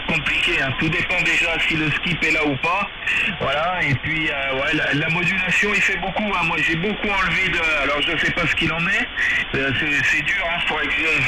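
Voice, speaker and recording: A man chatting casually as a radio operator over a two-way radio link, hesitant and searching for his words. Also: on the high side. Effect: two-way radio transmission. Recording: very noisy radio transmission, heavy static.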